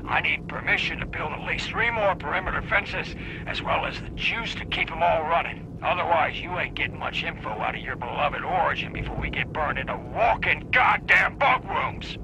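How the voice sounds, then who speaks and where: An actor playing a blunt, fed-up colony foreman with a gravelly voice, griping through a game audio log. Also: on the high side, expressive, normal speed, hardly any pauses, background noise. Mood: exasperated, urgent. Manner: blunt, exasperated, folksy. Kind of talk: gruff scripted audio log.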